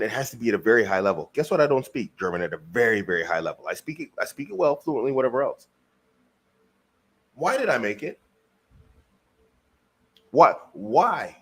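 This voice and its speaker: Emphatic man asking rhetorical questions. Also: on the high side. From United States. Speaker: podcast guest. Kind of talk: motivational podcast talk. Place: lives in Germany.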